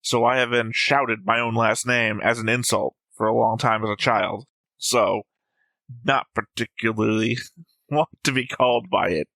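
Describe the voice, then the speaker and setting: A man's voice: mid-range voice, fairly even intonation, unhurried, sharp tone, no room sound. A man chatting casually as a host of a reaction show. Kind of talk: casual chat.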